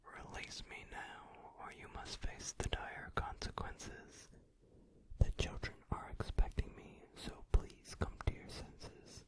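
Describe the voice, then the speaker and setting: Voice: slow pace, natural voice. A performer whispering the part of an old man Santa, a captive who demands release indignantly. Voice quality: whispered, unvoiced. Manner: pleading, indignant. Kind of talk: whispered song cover, ASMR.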